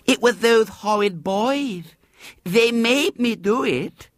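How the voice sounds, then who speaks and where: A man's voice: extremely high pitch, lively intonation, slow, no echo, voice pushed up, full character voice. A man voicing a spoilt small girl who whines and threatens to scream, in a storybook reading. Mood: plaintive. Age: child figure. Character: spoilt small girl, shrill and tantrum-prone.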